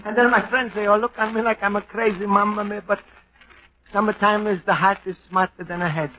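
A man's voice: sits high, fairly even intonation, a little fast. An actor playing a homesick, earnest Italian immigrant man in a radio comedy, speaking broken English with an Italian accent and frequent exclamations. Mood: wistful. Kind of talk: 1940s radio acting. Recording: dull old radio broadcast with faint hiss.

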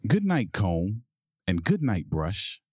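A man hosting a podcast and reading a children's picture book aloud in a measured, deliberate bedtime-story delivery. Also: lowish voice, wildly swooping pitch, darkish tone, breathy edge, no room sound, clean studio sound.

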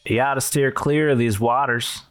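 A man's voice: mid-range voice, fairly even intonation, normal speed, no echo, faint music behind, natural voice. Guy doing a stubborn old-country southern boat rental fella, wary folksy drawl, real dry sound, comedy sketch. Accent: rural Southern US. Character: stubborn folksy backwoods paddle boat renter, man.

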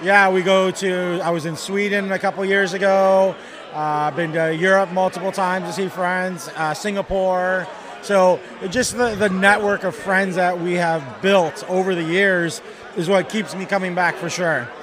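A man's voice: high voice, steady tone, measured pace, a crowd in the background. A man originally from Chicago, a guest fan, talking in a laid-back friendly way. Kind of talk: casual chat. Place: busy hotel bar. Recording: crowded bar background.

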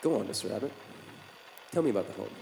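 An actor playing a composed, clinical psychiatrist with a measured, professional manner in a 1950s radio drama. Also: male voice, fairly low pitch, lively intonation, gravelly, mellow, slight background noise, poor audio. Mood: calm, probing. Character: composed, clinical male psychiatrist dictating case report.

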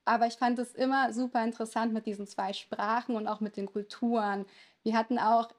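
A German-raised woman in her thirties, Italian her first language, talks through her legal career in a relaxed interview. Her voice sits slightly high. She hesitates often with fillers but keeps a friendly, chatty flow. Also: a little fast. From Lower Saxony, Germany. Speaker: lawyer, podcast guest. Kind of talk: conversational interview answers.

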